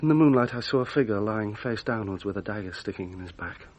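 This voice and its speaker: Husky, anguished young man. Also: on the low side, expressive, quick pace. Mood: remorseful. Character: anguished, remorseful young man accused of murder. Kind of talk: radio drama acting.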